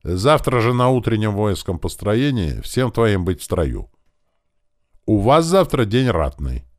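A man's voice: on the low side, very animated, unhurried, dry recording, clean studio sound, voice pushed up. A man voicing a stern older fortress commander who speaks with rustic authority in an audiobook story. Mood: brisk, commanding. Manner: commanding, rustic old-fashioned speech.

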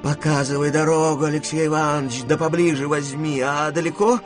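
A man voicing an imperious, impatient old grandmother in an audiobook, with loud, irritable, commanding exclamations. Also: on the high side, steady tone, a little fast, faint music behind, pitched above the performer's own voice, lightly acted. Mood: resolute, commanding. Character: imperious, impatient old Russian grandmother, hot-tempered gambler. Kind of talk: dramatized audiobook reading.

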